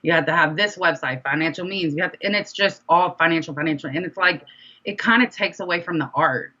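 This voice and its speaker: A bright, mid-pitched voice with a slightly dull recording. The speaker is an independent rapper answering as an interview guest, loose and chatty, often doubling back on a phrase to stress it. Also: quick pace. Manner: chatty, informal, often repeats phrases for emphasis. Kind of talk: casual conversational interview.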